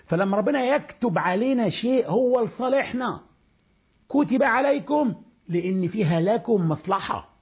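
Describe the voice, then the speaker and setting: A man with an Egyptian accent teaching a Quran commentary lesson, explaining verses in colloquial speech and reciting them slowly. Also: sits high, animated, slightly rough. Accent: Egyptian Arabic. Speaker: religious teacher. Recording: dull lo-fi recording.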